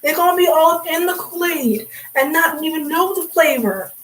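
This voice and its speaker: A young woman chatting on a livestream, sassy and talkative, calling people sweetie and honey. Her slightly high voice is worn hoarse and raspy from hours of talking without water. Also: expressive, normal speed, fairly bright tone, rough recording. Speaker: livestream host. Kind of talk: casual livestream chat.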